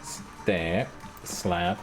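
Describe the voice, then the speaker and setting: Low-voiced man muttering while gaming. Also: fairly even intonation, very slow. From Britain. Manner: muttering step-by-step to himself, casual and self-mocking. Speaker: streamer. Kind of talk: live-stream gameplay commentary. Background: his own background music.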